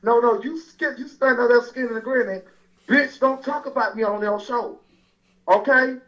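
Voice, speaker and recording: A pastor from Alabama, calling in to a radio show and angrily threatening the host. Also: male voice, very high voice, normal speed, fairly bright tone. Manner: angry, threatening, repetitive. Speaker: caller, pastor. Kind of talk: angry phone call to a radio show. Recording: dull lo-fi phone-in sound.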